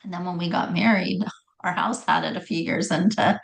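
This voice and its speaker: A middle-aged woman with a raspy voice telling her personal story in conversation, often stopping to correct herself. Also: fairly low pitch, steady tone, normal speed. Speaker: guest telling health story.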